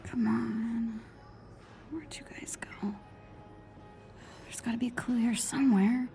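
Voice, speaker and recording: A woman's voice: a little high, fairly even intonation, takes it slowly, vocal fry, mellow, faint music behind. An actress playing a nerdy, eager teenage girl sleuth muttering to herself in a video game. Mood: curious, excited. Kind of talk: video game cutscene acting.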